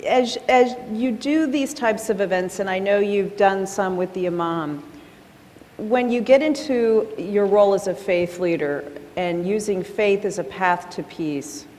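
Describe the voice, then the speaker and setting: A woman's voice: mid-range voice, a little slow, a little mellow. A woman moderating a panel, asking long questions with many hesitations. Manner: hesitant, many fillers, friendly. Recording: reverberant hall, faint noise.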